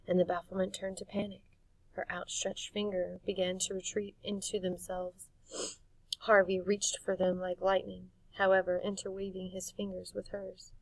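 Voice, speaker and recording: A woman reading a storybook aloud as an audiobook narrator, in an expressive storytelling style. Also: fairly low pitch, moderate pace, gravelly, dry recording, recorded from a distance, low-quality recording. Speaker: audiobook reader.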